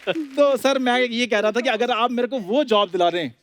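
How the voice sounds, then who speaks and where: A man's voice: very high-pitched, normal speed, heavy background noise. A man playing a brash, scheming freeloader in a TV comedy sketch, pleading and boasting. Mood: boastful.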